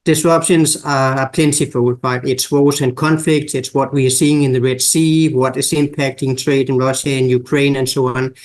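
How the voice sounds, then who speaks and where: A man with a Danish accent, a guest expert on a podcast interview, explaining in a measured way and listing examples. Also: medium pitch, flat delivery. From Denmark.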